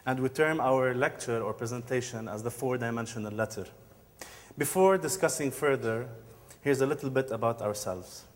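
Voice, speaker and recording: A man's voice: medium pitch, normal speed, slight background noise. A man from Dubai, co-founder of a design studio, giving an earnest conference presentation with occasional fillers. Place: large conference hall. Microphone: handheld or podium microphone. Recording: long hall reverb.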